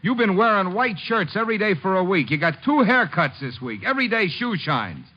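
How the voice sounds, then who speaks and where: A man's voice: high-pitched, big pitch swings. A man playing a needling, mocking newspaper boss who drawls his jibes in a 1950s radio drama. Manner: mocking, drawn-out, teasing. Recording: muffled 1950s radio recording, faint noise.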